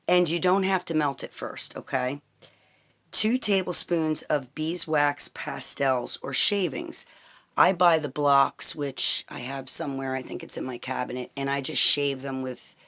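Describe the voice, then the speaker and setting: A woman with a raspy voice demonstrating an herbal recipe at home in a chatty, rambling way, often checking in with "okay?". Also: very deep voice, a bit bright. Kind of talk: casual how-to tutorial. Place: home, indoors at a tabletop. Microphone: camera pointed at the table, speaker off-frame.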